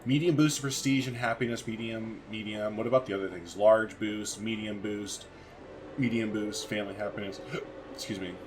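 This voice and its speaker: A man's voice: on the low side, fairly even intonation, moderate pace, noisy background. A man giving casual let's-play commentary as a gaming video host, thinking aloud in informal, slangy language.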